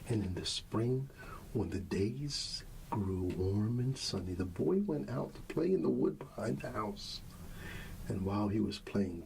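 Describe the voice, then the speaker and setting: A man with a lowish, gravelly voice plays a gentle father at bedtime in ASMR style. He speaks very slowly and softly, often slipping toward a whisper. Noticeable ambient noise sits behind his voice. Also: poor audio. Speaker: ASMR roleplayer playing a father reading a bedtime story. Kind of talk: soft ASMR bedtime storybook reading, talking to a child.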